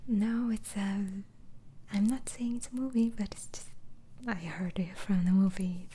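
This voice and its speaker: Slow whispering woman, dark and breathy. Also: mid-range voice, fairly even intonation, slight background noise. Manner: gentle, hesitant questioning with repeated phrases. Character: polite, patient female asylum doctor interviewing a patient. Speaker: ASMR roleplayer playing an interviewing doctor. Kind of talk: ASMR roleplay, soft whispering.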